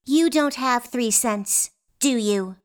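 A woman's voice: a little high, slow. An actress playing an earnest, precocious eight-year-old girl in a radio play, polite and precise.

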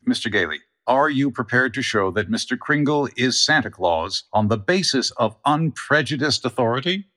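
An actor playing a cautious, pompous judge in a courtroom radio play, halting and formal. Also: male voice, medium pitch, animated, sharp tone. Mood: official.

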